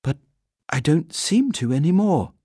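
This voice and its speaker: A man's voice: a little high, very animated, unhurried, mellow, slightly rough, dry recording, clean studio sound, voice pushed up, exaggerated voice. A man voicing a small, polite young male bear who speaks with a hesitant, earnest manner.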